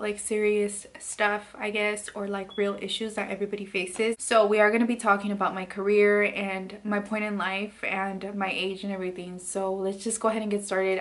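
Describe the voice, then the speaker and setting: A woman in her late twenties talking candidly to camera in her bedroom, soft and hesitant with many fillers. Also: mid-range voice. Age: late 20s. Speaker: beauty vlogger. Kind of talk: candid personal vlog. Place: bedroom, indoors.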